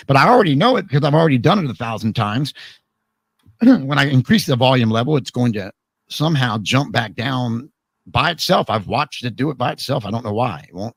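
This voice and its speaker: Hoarse, chatty male host. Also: medium pitch, no echo. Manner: chatty, joking, rambling with restarts. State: hoarse, voice worn out. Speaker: radio program host. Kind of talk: casual live talk show chat.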